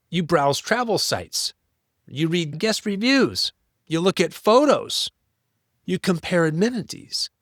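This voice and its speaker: Higher-pitched man with a lively, instructive delivery. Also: no room sound, studio quality. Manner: instructive, rhetorical questions, emphatic lists. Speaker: presenter of marketing tips for business owners. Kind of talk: explainer talk to business owners.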